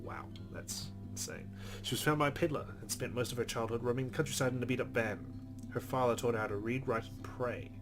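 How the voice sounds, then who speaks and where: A man's voice: mid-range voice, steady tone, brisk. A man reading a visual novel aloud as a let's play host, adding casual commentary. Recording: background guitar music. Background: game music with plucked guitar.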